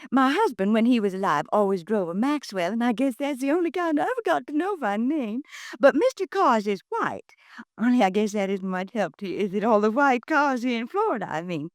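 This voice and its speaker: An actress playing a prim, gossipy widowed neighbor who chatters on with disapproval, in a 1950s radio drama. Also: female voice, a little high, expressive, brisk, no room sound, clean studio sound, lightly acted. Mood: gossiping. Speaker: witness.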